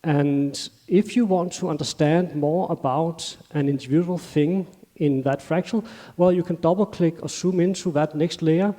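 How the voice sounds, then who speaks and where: A man's voice: fairly high pitch, normal speed, dark tone. A man with a Danish accent giving a technical conference talk on stage, in a conversational way with loose phrasing and self-corrections. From Denmark. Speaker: conference speaker. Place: conference stage in a large hall. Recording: long hall reverb.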